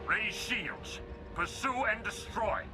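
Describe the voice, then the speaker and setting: An actor playing a starship captain in battle in a video game, barking clipped, urgent orders. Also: male voice, high-pitched, takes it slowly. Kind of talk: cinematic video game acting. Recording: loud music underneath.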